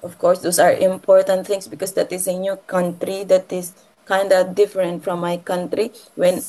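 A woman from the Philippines with a Filipino accent and Filipino as her first language, talking casually and haltingly, often repeating and rephrasing her phrases. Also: fairly low pitch, flat delivery, warm tone, poor audio. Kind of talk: casual conversational personal talk.

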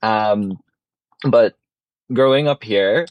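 A man's voice: on the low side, extremely slow, dry recording, dull audio. A young man from Ireland, a fashion design student, speaking earnestly as a radio interview guest.

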